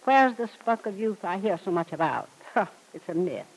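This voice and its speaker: A woman's voice: mid-range voice, sing-song, normal speed, slight background noise, low-quality recording. An actress playing a brusque, imperious wealthy old woman in a vintage radio serial, with formal, commanding diction. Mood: imperious, impatient. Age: older. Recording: vintage radio drama recording.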